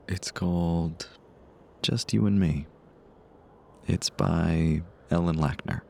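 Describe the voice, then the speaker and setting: A man acting a shy, smitten stranger in an audio romance, speaking haltingly and tenderly with a husky, gravelly voice. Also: sits low, steady tone, slight background noise, exaggerated voice. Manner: halting, hesitant, soft-spoken.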